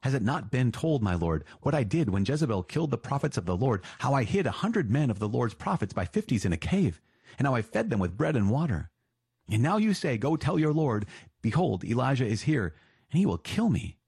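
Man reader doing an anxious male steward in a scripture reading, husky, pleading. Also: medium pitch, very animated, a little fast, a little mellow, no echo, clean studio sound, voice pushed up, exaggerated voice.

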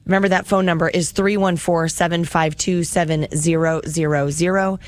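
Woman, radio host, lowish voice, conversational, live fundraising interview style. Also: fairly even intonation, moderate pace, dry recording. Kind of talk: chatty live interview with pledge appeals.

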